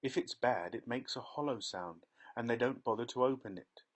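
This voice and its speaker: A man voicing an excitable, eccentric male chocolatier who calls out loudly and triumphantly. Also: mid-range voice, moderate pace, close to an everyday voice. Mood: brisk excited. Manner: loud, bright, triumphant exclamations.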